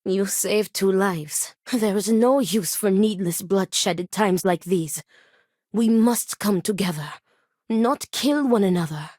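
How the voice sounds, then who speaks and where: An actress voicing a grave, principled and devout woman in a video game, speaking in formal, measured, stern phrases. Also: mid-range voice, a little mellow, no room sound, studio quality. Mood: solemn, earnest. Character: grave, principled woman acting as duel second, devout, weary. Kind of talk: video game character dialogue.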